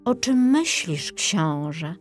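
A woman as a kindly old marquis, unhurried. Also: fairly low pitch, very animated, soft background music. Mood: gentle. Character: kindly, indulgent elderly gentleman marquis. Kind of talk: children's storybook character acting.

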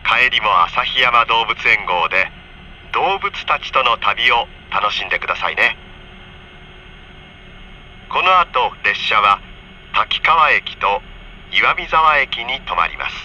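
A man reading a scripted onboard train announcement for children in friendly, polite, clearly enunciated phrases, heard over a train PA. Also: medium pitch, lively intonation, takes it slowly, pauses often, sharp tone. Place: train car, over the PA system. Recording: dull train PA with faint noise.

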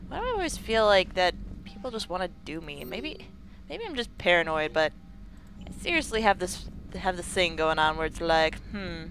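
Young woman rambling over her game, lowish voice, swears and jokes, faint hiss. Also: gravelly, a bit bright, poor audio. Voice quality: lower morning voice. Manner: chatty, jokey, swears, laughs a lot. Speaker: let's play gamer. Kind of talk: casual gameplay commentary. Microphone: close desk mic.

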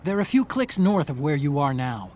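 An actor playing an earnest, brainy tech ally in a video game, giving clear, urgent mission briefings. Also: male voice, on the high side, very animated, moderate pace, darkish tone, a little hiss or hum. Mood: reassuring.

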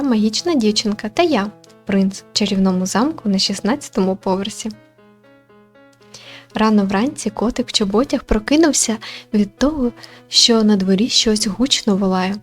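A woman reading a visual novel aloud as host, with expressive storytelling and casual commentary. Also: mid-range voice, normal speed. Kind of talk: narrated read-through with casual commentary. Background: game music.

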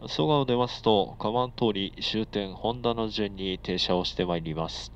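A man's voice: on the low side, unhurried. A man making formal, polite train announcements as the conductor, heard over an onboard speaker. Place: inside a moving commuter train. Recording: muffled onboard speaker with noise. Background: faint train and air-conditioning noise.

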